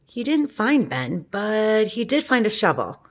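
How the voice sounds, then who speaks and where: A woman reading a children's picture book aloud, gently, with asides and questions to young listeners. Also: medium pitch, big pitch swings, a little slow. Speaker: storybook reader.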